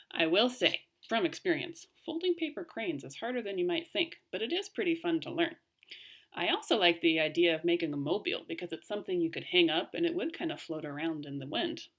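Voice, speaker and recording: Female teacher for children's read-aloud; slightly low-set voice, highly animated intonation, clear phrasing. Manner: expressive, clearly phrased for young listeners. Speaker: teacher reading aloud to pupils. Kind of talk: read-aloud storytelling and lesson talk for children.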